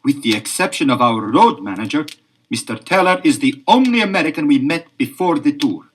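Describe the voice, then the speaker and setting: An actor playing a nervous foreign band leader who speaks in formal, stiff phrases. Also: male voice, a little high, very animated, brisk, slightly rough. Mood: anxious. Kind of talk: 1970s children's audio drama.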